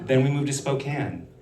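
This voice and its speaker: A man performing stand-up on stage with a dry, sarcastic delivery. His pitch swings widely from line to line. He sounds a little distant, set back from the mic in a roomy hall. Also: warm tone. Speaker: stand-up comedian and physician.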